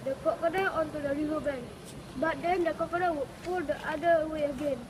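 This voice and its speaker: A woman's voice: high voice, steady tone, fast. A woman reading a folk tale aloud. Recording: clearly noisy recording with ambient sound.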